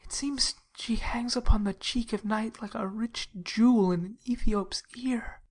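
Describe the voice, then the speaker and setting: A woman playing a lovestruck, brooding young nobleman, declaiming verse in a measured, dreamy theatrical manner. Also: fairly high pitch, steady tone, a little mellow. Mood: awestruck, hushed. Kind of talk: theatrical Shakespearean verse acting.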